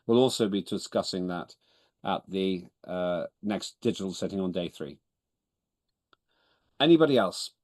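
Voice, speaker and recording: A lower-pitched man, Estonian by first language, chairs a video-call meeting in English. He is businesslike and wry, often correcting himself as he goes. The sound is dry and close. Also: animated, a little slow, pauses often, a little mellow, no room sound. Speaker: chairman of parliament.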